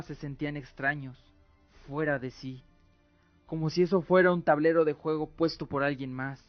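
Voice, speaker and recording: A man's voice: a little high, no room sound. A man reading dramatic scripted narration as the narrator of a radio drama. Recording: muffled audio with music. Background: faint music.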